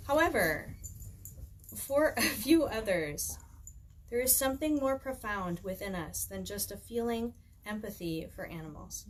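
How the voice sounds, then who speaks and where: A woman's voice: medium pitch, lively intonation, measured pace. A woman author reading her own book aloud at home in an informal, conversational way. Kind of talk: reading aloud with casual chatty asides. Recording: clear background noise, home recording.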